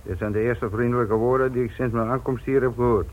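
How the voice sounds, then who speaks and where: An actor playing a weary, frustrated police inspector in a radio play, gruff and curt, muttering the odd curse. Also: male voice, mid-range voice, steady tone, fast, narrow, tinny audio, natural voice. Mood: dry. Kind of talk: Dutch radio play acting. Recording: noisy old radio drama recording.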